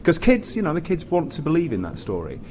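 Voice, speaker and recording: A man's voice: fairly high pitch, huge pitch swings, quick pace, darkish tone. A man speaking off the cuff as a theatre writer and performer on a discussion panel, circling back and repeating phrases as he explains. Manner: rambling, repeats and restarts phrases, often says "you know". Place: theatre, live panel after a show. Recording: noisy live room recording.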